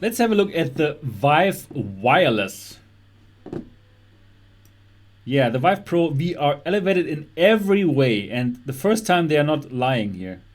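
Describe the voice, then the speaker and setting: Chatty German-accented man, slightly high voice. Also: sing-song, unhurried. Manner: chatty, laughs often, restarts phrases. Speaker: host of a VR livestream show. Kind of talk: casual livestream tech talk, reading chat.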